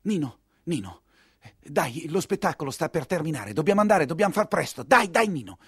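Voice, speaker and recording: A man's voice: fairly high pitch, sing-song, fairly quick, fairly bright tone, husky, dry recording, clean studio sound, full character voice. A man voicing an impetuous, insistent, idealistic young count in a theatrical monologue. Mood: urgent.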